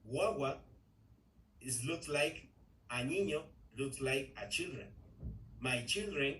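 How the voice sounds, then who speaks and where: A man from Ecuador, a native Spanish speaker with an Ecuadorian Spanish accent, talking haltingly in English class with many fillers and restarts. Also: mid-range voice, animated, a bit bright. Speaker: English learner. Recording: echoey room, distant mic, faint noise.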